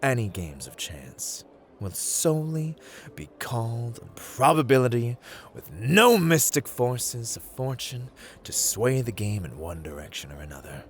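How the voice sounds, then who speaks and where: A man's voice: big pitch swings, unhurried. A man playing a playful, haughty god of luck in an ASMR roleplay, theatrical and teasing, drawling his words as he addresses the listener. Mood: grand, challenging. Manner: theatrical, teasing, drawling, swinging between hushed and booming.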